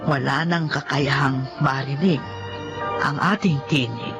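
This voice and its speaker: An actress playing an older, remorseful woman in mourning in an emotional radio drama, speaking in a halting, heartfelt way. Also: a bass voice, steady tone, muffled recording. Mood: sorrowful. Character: grieving, remorseful old woman mourning her longtime rival. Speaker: radio drama lead actor. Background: music.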